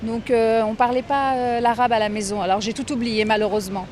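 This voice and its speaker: A woman with a French accent speaks in a clearly high voice, as an interviewee in a casual street interview. She explains in a conversational way and repeats phrases for emphasis. Faint urban noise sits behind her. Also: little pitch movement, brisk, a little mellow. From born in Tunisia, grew up in France from age five. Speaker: interviewee, director of a diaspora federation. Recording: outdoor street recording with background noise.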